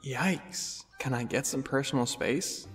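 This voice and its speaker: A man's voice: mid-range voice, measured pace, soft background music, natural voice. A man voicing a polite, hesitant young male pony, a protective older brother in an audio story. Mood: startled. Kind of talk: character acting in fan audio story.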